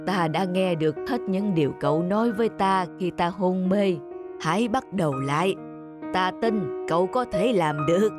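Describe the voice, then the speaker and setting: A woman voicing a kindly, forgiving old man who speaks slowly and solemnly, in an animated moral story with music behind. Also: on the low side, lightly acted. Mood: grateful, encouraging.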